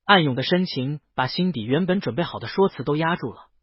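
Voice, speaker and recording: A man's voice: a little high, lively intonation, fairly quick, slightly rough, no room sound, dull audio. A man reads a scripted story as an audiobook narrator, voicing the dialogue himself.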